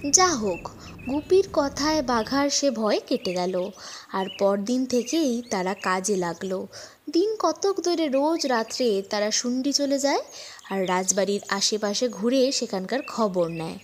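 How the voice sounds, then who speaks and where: A woman with a fairly high voice tells a children's tale at a very unhurried pace. It is scripted audiobook narration, with clear noise behind her. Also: expressive. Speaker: story narrator.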